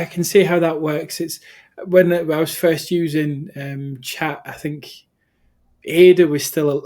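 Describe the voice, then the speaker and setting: A man's voice: fairly high pitch, a little mellow. A man from the UK hosting an interview, rambling through anecdotes with many restarts. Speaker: interview host. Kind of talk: interview conversation.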